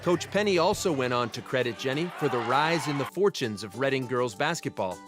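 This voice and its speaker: A man reading a scripted tribute narration in a measured, formal way. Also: mid-range voice, animated. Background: music.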